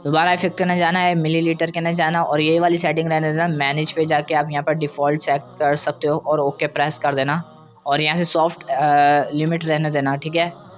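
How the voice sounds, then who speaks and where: A man's voice: on the high side, flat delivery, brisk, faint music behind. A man presenting an audio editing tutorial in a casual, conversational way, often checking that listeners follow. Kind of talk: informal software tutorial.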